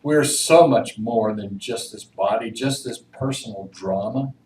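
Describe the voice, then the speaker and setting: A man reading a reflection aloud at a church service, with deliberate, emphatic phrasing. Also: fairly low pitch, darkish tone. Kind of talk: introduction and read-aloud reflection. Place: church room, recorded from a distance. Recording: roomy, distant mic, faint noise.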